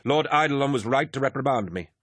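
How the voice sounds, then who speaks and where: A man's voice: mid-range voice, lively intonation, quick pace, fairly bright tone, no room sound, pitched above the performer's own voice. A man voicing a proud young warrior with an earnest, solemn and slightly childlike tone. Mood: contrite.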